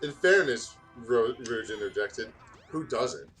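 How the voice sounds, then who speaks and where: Animated man reading fan fiction aloud. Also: mid-range voice, slow, soft background music. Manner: animated, stumbles over words while reading. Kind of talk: casual fan fiction read-aloud with joking asides.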